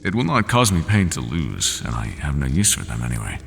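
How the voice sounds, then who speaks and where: A man voicing a resigned, half-human horned monster in an audio roleplay, speaking slowly and formally without contractions. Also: wildly swooping pitch, fairly bright tone, a little hiss or hum, full character voice. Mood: solemn, weary. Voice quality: very deep and gravelly. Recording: long hall reverb, faint ambience.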